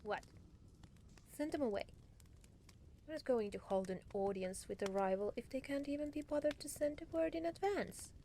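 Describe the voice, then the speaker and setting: A woman voicing a haughty, teasing demon lord in an ASMR roleplay, condescending and theatrical, with breathy murmurs. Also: high voice, expressive, moderate pace, pauses often, mellow, a little hiss or hum. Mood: imperious, dismissive.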